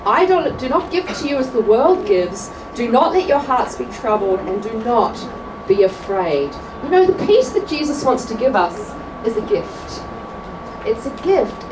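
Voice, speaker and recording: A woman with an Australian accent presenting a class to an audience at a church event, chatty and quick to laugh at herself. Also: fairly high pitch. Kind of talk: live talk to a class. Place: large room with an audience. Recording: echoey hall from far off, crowd noise.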